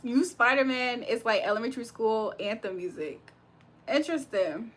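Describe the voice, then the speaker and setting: A woman's voice: fairly high pitch, moderate pace, a bit bright. A young woman from Virginia hosting a livestream, reading comments aloud and reacting with frequent laughs. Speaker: livestream host reading chat. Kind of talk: casual live chat.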